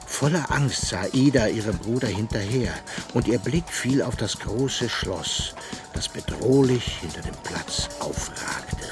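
An actor playing an elderly fairy-tale collector, narrating his memories in a measured way for a children's radio play. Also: medium pitch, expressive, over music, lightly acted. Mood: suspenseful storytelling. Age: older.

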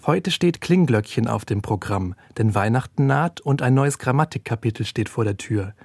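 A man's voice: medium pitch, lively intonation, normal speed, vocal fry, a little mellow, a little hiss or hum. A man narrating a scripted news feature as a reporter. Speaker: radio reporter.

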